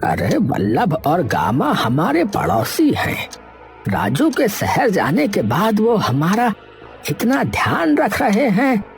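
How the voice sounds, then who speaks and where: Slow, swooping old village husband man acting. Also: high-pitched, huge pitch swings, faint music behind, full character voice. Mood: gently reproving. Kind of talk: Hindi children's cartoon voice acting.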